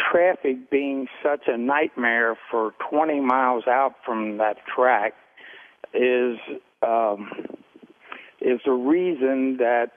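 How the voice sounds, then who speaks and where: Radio phone-in caller, American male, mid-pitched, halting and unscripted, narrow telephone sound. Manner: halting, hesitant, searching for words. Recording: tinny, band-limited phone line.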